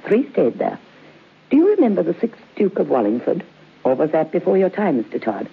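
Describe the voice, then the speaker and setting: A narrow, muffled vintage radio recording carries an old woman, mistress of a haunted house. Her voice is very husky and rises and falls dramatically. She is imperious and secretive, speaking with stately deliberation as in a horror play. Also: on the low side, huge pitch swings, fairly quick, exaggerated voice. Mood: ominous, composed. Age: older.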